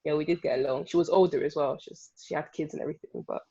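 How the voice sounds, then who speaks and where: A woman's voice: low-pitched, fairly even intonation, very fast, mellow, breathy edge, dull audio. A woman in her early twenties, a UI developer guest, chatting casually on a podcast and laughing often. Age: 20s.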